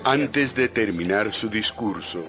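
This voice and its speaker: A man's voice: fairly low pitch, expressive, takes it slowly. A man narrating a dramatized audio Bible in a scripted style. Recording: loud music and crowd noise behind. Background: loud dramatic music, crowd.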